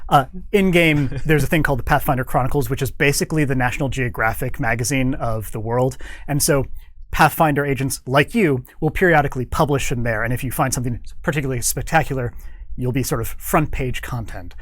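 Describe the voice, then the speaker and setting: A man's voice: mid-range voice, a little fast, no echo. A man speaking as an interview guest in a studio, explaining his work in an informal way. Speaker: guest, game developer.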